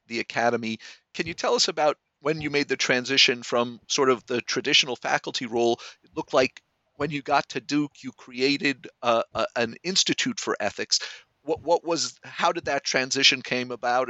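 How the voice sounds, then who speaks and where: A man's voice: medium pitch, pushed and bright, dry recording. A man from the United States who interviews a guest and asks long, winding questions with repeated words. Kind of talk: conversational interview. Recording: dry, dull, lo-fi sound.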